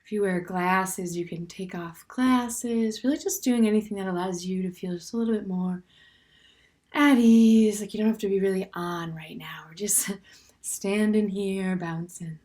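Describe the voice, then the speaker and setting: A woman with a medium-pitched voice guides a meditation. She speaks gently and without hurry, leaving pauses between short phrases. Her sentences often soften into breath at the end, and her instructions come across as open and permissive. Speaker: meditation teacher.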